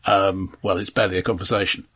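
A man's voice: sits low, little pitch movement, fast talker, sharp tone, slightly rough. A man co-hosting a casual film podcast, reasoning things through hesitantly and often repeating his words. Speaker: film discussion podcast co-host.

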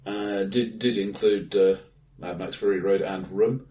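Low-voiced man in an echoey room. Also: fairly even intonation, a little slow. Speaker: podcast host. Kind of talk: casual film discussion. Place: indoors, reverberant room, face to face. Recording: echoey bright room, distant mic.

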